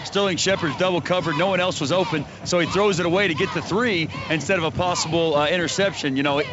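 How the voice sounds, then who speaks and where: An American man breaks down football plays from the sideline like a coach. He talks fast in a slightly high voice. The broadcast sound is dull, with stadium noise clearly behind him. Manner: explanatory, coaching-style breakdowns. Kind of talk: live analytical sports commentary.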